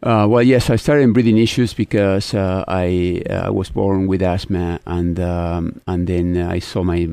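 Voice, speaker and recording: A man's voice: deep voice, vocal fry, mellow, no echo. A man with an Argentine accent, a lung doctor from Argentina, answering questions in a friendly way with a lot of "uh" in a radio studio interview. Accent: Argentine Spanish. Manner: friendly, hesitant with many fillers. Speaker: pulmonologist guest.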